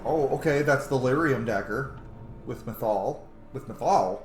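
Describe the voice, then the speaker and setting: A man's voice: mid-range voice, a little slow. A man hosting a gaming channel, giving informal, sweary let's play commentary. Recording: roomy echo, game music behind. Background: video game music.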